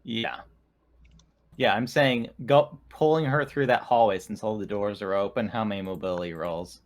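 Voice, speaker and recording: A man chatting casually as a tabletop roleplaying player, with halting phrasing. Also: fairly low pitch. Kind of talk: casual game-table chat.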